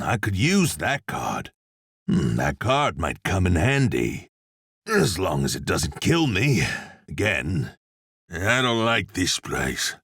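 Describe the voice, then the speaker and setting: A man playing a grim, sardonic cowboy blacksmith demon hunter in a video game, a gravelly husky voice and a dry, laconic manner. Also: deep voice, sharp tone, dry recording, full character voice.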